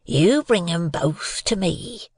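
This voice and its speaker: Storybook villain, performed by a man: ragged growling convict, gravelly, threatening, rustic, dragging out every word. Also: sits high, lively intonation, no room sound, pitched above the performer's own voice, exaggerated voice. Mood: menacing. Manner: slow, drawn-out threatening rustic speech.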